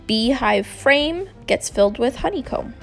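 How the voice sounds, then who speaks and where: A woman reading a children's book aloud in an expressive, scripted narrating style. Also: sits high, a little slow, vocal fry, soft background music. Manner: expressive, acts out dialogue. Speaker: storybook reader.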